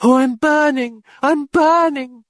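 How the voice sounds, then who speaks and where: A man's voice: very high voice, slow pace, a bit bright, no echo, studio quality, pitched above the performer's own voice, full character voice. A man voicing a terrified young girl who shrieks and pleads in pain while possessed, in an audiobook. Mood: terrified screaming.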